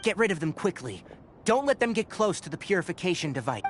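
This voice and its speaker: A precise, higher-pitched young male scholar. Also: very animated, music in the background. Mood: brisk, calm. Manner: precise, explanatory, unhurried. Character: calm young male scholar inventor, clinical, matter-of-fact. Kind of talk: video game voice acting.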